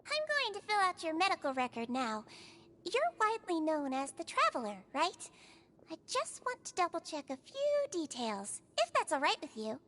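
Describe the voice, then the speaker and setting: A woman voicing a small, gentle, non-human nurse in a game, speaking in a soft, polite, reassuring way. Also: very high-pitched, wildly swooping pitch, moderate pace, full character voice. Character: small gentle creature nurse, patient and caring.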